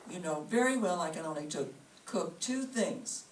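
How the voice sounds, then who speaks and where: A woman's voice: on the low side, lively intonation, normal speed, lightly acted. A woman playing a nagging, exasperated wife in an old-time radio comedy staged live. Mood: exasperated, scolding. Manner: scolding, exasperated. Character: nagging, put-upon wife of a bickering couple. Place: live stage performance before an audience. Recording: roomy, distant mic, faint noise.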